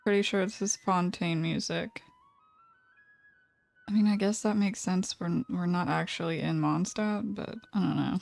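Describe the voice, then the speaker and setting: A soft-spoken woman hosting a quiet, cozy let's play, musing to herself over game music. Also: fairly low pitch, steady tone, measured pace, vocal fry. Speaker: gaming channel host. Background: faint game music.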